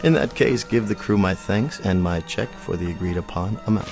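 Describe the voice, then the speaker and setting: A haughty colonel in an audio drama. The voice is low and formal, pompous and measured, set over clear background music. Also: male voice. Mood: brisk, businesslike. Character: haughty, wealthy club colonel, stern and exacting.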